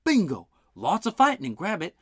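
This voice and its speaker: A narrator voicing an eager, plainspoken man who talks in quick, excited bursts. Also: medium pitch, slightly rough, no echo, studio quality, voice pushed up.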